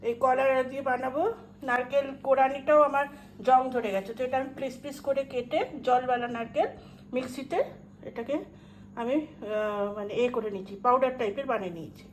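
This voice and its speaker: A woman with a high voice and an Indian Bengali accent chats in a homely vlog style. She mixes English and Hindi words into her informal talk. The home recording carries some faint background noise. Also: steady tone, fairly quick, low-quality recording. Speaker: home cooking vlogger. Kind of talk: casual chatty cooking vlog. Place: indoors at home.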